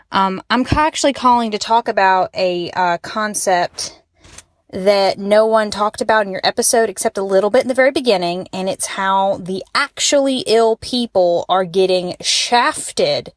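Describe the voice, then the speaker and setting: A woman's voice: mid-range voice, no echo. Young woman leaving a candid voicemail. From Alabama, United States. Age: young adult. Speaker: listener calling in. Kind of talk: personal voicemail account, conversational. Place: voicemail message recorded for a podcast.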